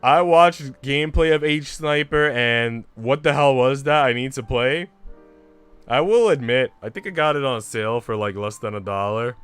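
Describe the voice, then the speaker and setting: Clear-voiced man chatting on a gaming stream. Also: medium pitch, moderate pace, a bit bright, soft background music. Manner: informal, chatty. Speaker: livestreamer. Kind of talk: casual gaming stream chat and reading a visual novel aloud.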